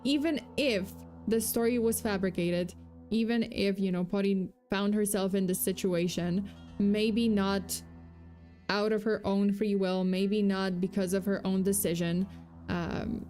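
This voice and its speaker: A woman's voice: medium pitch, steady tone, measured pace, darkish tone. Young female streamer with a slight Polish accent, loose and rambling delivery, faint music underneath. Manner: chatty, full of fillers and self-corrections, reads chat aloud. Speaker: VTuber host. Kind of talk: casual true-crime livestream chat.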